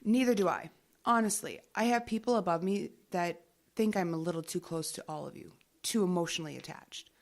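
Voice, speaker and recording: An actress playing a secretive government agent in an audio drama, dry and unflappable. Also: female voice, lowish voice, brisk, natural voice. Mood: wry.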